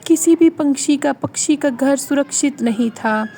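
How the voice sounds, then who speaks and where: A woman narrating a children's cartoon story in a slow, dramatic storytelling manner. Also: sits high, mellow, a little hiss or hum.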